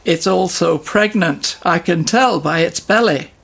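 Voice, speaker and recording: An actor plays an officious sheriff of olden days in a radio play. The delivery is pompous and formal, with humourless, pedantic weight on every word, at a middling pitch. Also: unhurried, vocal fry, fairly bright tone, husky, heavily acted. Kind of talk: audio drama dialogue.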